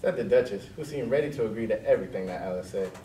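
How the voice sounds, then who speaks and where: Male storybook narrator, fast, mellow delivery, recorded at a distance with some noise. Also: on the low side, animated. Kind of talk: scripted storybook narration on stage. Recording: echoey room, distant mic, noisy.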